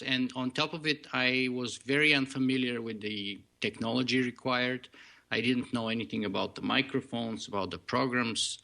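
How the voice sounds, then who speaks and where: Bright-voiced man with a thick Bulgarian accent. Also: medium pitch, gravelly. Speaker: podcast guest. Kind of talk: interview, telling his story.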